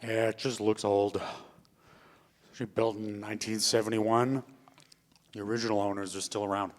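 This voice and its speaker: A man's voice: lowish voice, level tone, moderate pace, natural voice. A man playing a weary, laconic bartender in a live staged play reading, casual in manner. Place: theatre stage before a live audience. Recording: reverberant theatre hall, live stage.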